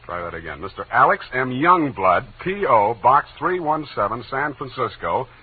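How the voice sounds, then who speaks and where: An actor playing a wry, hard-boiled private detective in a 1940s radio drama, full of dry, sardonic wisecracks. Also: male voice, medium pitch, very animated, normal speed, husky. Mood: wry, dictating. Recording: tinny old radio recording with music.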